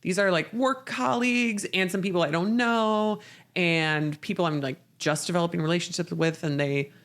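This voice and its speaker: A woman's voice: lowish voice, normal speed. A woman hosting a podcast, chatting casually and informally about books. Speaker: podcast host. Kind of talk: casual book-discussion chat.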